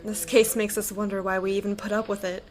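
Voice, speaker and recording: A woman's voice: mid-range voice, little pitch movement, quick pace, natural voice. A woman acting an earnest, troubled police officer in a conversational radio drama.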